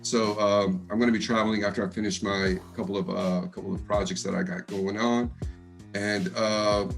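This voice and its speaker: Deep-voiced Texan man, fast talker. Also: fairly even intonation, gravelly, soft background music. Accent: East Coast US tinge over Texan. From Texas. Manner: fast-talking, laid-back, laughs often, says man a lot. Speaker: rapper interviewee. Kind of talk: casual interview chat.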